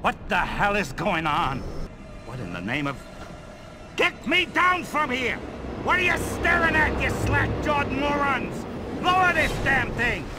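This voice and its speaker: Blustering, high-swinging mob boss. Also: male voice, sing-song, moderate pace, heavy background noise. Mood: panicked, furious. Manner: blustering, swaggering. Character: arrogant middle-aged mob boss, short-tempered and bullying. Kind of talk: video game cutscene acting. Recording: loud music behind the voice.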